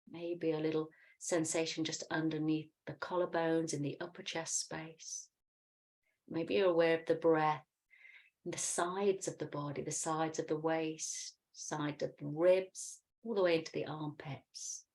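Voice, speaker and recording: Meditation teacher: middle-aged woman, low register, calm and soothing, unhurried guided instruction.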